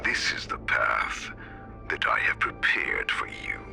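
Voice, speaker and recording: Very deep, gravelly warlord villain. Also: male voice, big pitch swings, over music, full character voice. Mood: menacing. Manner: slow, menacing, theatrical. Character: brutal menacing wolf-like villain warlord. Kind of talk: video game voice acting.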